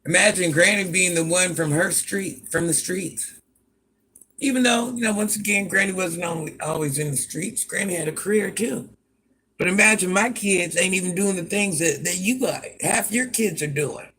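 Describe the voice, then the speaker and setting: A grandmother with a deep voice, chatting on a livestream and talking back to commenters with sass. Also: normal speed, fairly bright tone, slightly rough, poor audio. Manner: sassy, talks back to commenters by name. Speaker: livestream host. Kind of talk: casual livestream chat.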